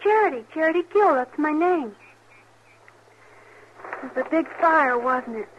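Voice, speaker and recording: A small, lonely frontier girl, high-pitched and childlike. She speaks with odd, simple candor in old radio western style, on a muffled vintage recording. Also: expressive, a little slow, slight background noise. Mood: guileless, wary. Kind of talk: old-time radio drama acting.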